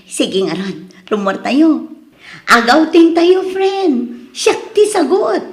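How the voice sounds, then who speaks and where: A young Ilocano wife in a radio drama. She is hesitant but tempted by easy money. Her voice is fairly high, in a very roomy, echoing sound. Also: expressive, slow, over music. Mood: excited. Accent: Ilocano Filipino.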